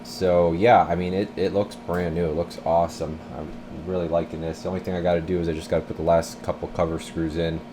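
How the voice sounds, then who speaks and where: Male technician, deep voice, informal rambling demo style, noisy workshop sound. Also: level tone, brisk, few pauses. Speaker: radio repair technician presenting his bench work. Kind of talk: casual hands-on demonstration vlog. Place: indoor radio repair workbench.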